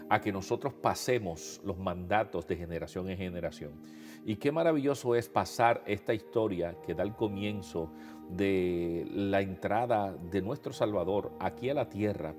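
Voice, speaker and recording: A man in his fifties with a Puerto Rican accent speaks to his online flock, his voice nasal and congested after a nose procedure. He talks in a warm, rambling way, calling listeners beloved, in a fairly low voice. Music plays clearly underneath him. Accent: Puerto Rican Spanish. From Puerto Rico. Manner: warm, conversational, addresses viewers as beloved, frequent fillers. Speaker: pastor hosting an online devotional show. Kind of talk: casual devotional talk to viewers. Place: indoors before a holiday backdrop.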